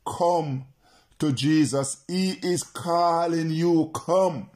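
A man leads a fervent prayer in a slow, deliberate delivery, pitched a little above the usual male range. He repeats each phrase insistently, almost chanting, as he preaches to listeners tuning in from afar. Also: darkish tone.

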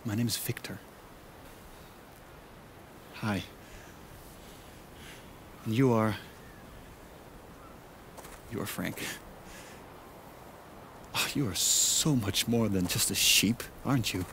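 A man's voice: fairly low pitch, slow pace, pauses often, pushed and bright, slight background noise, full character voice. An actor playing an eccentric salesman in a cartoon, theatrical and ingratiating as he persuades with grand promises. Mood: coaxing, theatrical.

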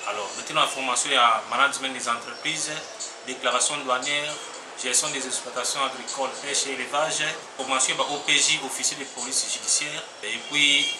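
A man's voice: medium pitch, fairly even intonation, a little fast. A man with a Congolese French accent, a staff member listing courses somewhat hesitantly in an office. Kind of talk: informational statement. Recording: echoey with noise.

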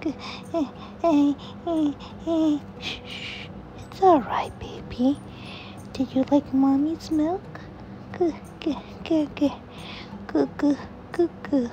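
A woman voicing a doting mother fox who talks to her baby in slow, sing-song baby talk. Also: sits high, fairly even intonation, mellow, highs cut off, a little hiss or hum, pitched above the performer's own voice. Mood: soothing.

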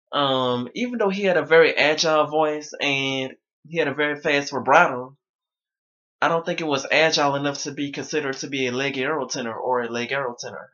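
A man's voice: on the high side, a bit bright. Young man, casual vlog talk, slightly strained voice, small bedroom, muffled lo-fi webcam audio. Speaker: vlogger.

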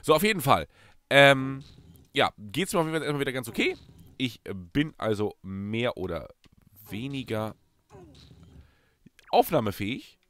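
A young German man commenting casually on his gameplay as a let's play host, his voice still hoarse from a cold, jumping between loud bursts and low mutters. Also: sing-song, normal speed, pauses often.

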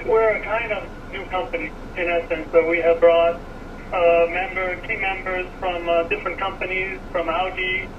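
A man from Miami with an American accent, answering interview questions thoughtfully with many pauses and fillers. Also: high-pitched, level tone. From Miami, USA. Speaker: car design director guest. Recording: distant, dull, noisy radio audio.

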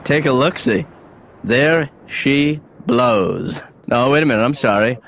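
A man playing a boyish, excitable slacker son in an animated sitcom, rambling casually with mock grandeur. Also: mid-range voice, measured pace, gravelly. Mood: excited, evasive. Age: young adult. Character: slacker grown son, boyish, smugly excited about gadgets. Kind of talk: improvised animated sitcom dialogue. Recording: muffled cartoon audio, background hiss.

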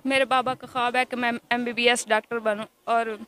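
Teenage girl student from Pakistan, bright tone, level delivery, earnest. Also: a little high, level tone, brisk, smooth. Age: teens. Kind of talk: answering interview questions.

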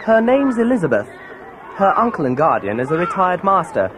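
Young sleuth: keen, self-assured, animated, slightly high pitch, read-along drama with noisy ambience. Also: male voice, brisk, crowd noise. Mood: earnest, determined. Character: keen, confident schoolboy detective.

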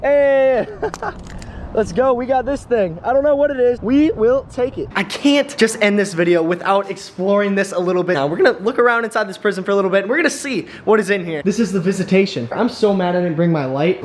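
Excitable young man vlogging outdoors. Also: high-pitched, brisk, slight background noise. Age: young adult. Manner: excitable, laughing, exclaims at finds. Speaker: magnet fishing vlogger. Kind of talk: excited outdoor vlog chat. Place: outdoors by a pond at an abandoned building, then inside it.

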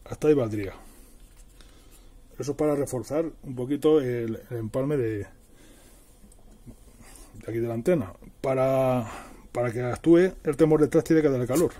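Male DIY presenter, middle-aged. Very mellow, slightly rough voice, informal step-by-step delivery. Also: mid-range voice, moderate pace, long pauses. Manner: plain, step-by-step explaining with everyday diminutives. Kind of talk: casual hands-on how-to narration. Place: indoors at a worktable.

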